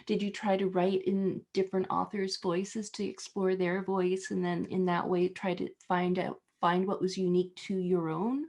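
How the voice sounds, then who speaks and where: A woman's voice: fairly low pitch, flat delivery, normal speed, hardly any pauses, a little mellow. A young woman interviewer speaks through a headset on a video call from her home office. She asks her questions in a chatty, rambling way, stopping and restarting as she goes. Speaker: interview host. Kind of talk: casual interview chat.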